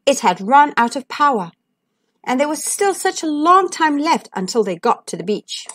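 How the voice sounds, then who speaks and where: A woman storyteller reading to young children. Her voice is high and swings widely in pitch, with expressive, deliberate emphasis on key words. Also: dry recording. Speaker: storyteller and host.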